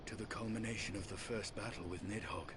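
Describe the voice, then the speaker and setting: An actor playing a grave, noble knight in a fantasy video game, speaking in formal, archaic phrasing. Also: male voice, on the low side, fairly even intonation, fast talker, background noise, lightly acted. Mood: solemn storytelling. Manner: solemn, formal, archaic diction. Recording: voice under loud orchestral game music.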